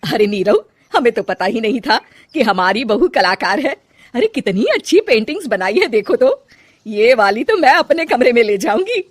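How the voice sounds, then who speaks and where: A woman's voice: a little high, big pitch swings, moderate pace, no room sound. A woman voicing an older mother-in-law in a cartoon story, homely and emphatic, bossy but affectionate. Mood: pleased. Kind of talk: Hindi cartoon moral story acting.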